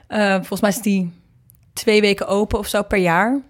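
A woman's voice: mid-range voice, steady tone, moderate pace. A woman from the Netherlands, being interviewed and explaining things informally, thinking aloud as she goes. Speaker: interviewed singer and producer. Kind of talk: casual interview conversation.

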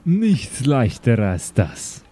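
A man improvising casually as a tabletop role-player, halting and a bit cocky as his character. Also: mid-range voice, wildly swooping pitch, mellow, slightly rough.

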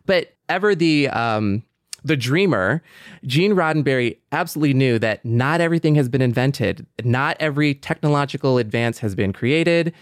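A man co-hosting a podcast, chatting with enthusiasm and speeding up when he gets excited. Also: mid-range voice, no echo, studio quality. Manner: enthusiastic, fast when excited, frequent "mm-hmm". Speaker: podcast co-host. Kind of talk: casual chat.

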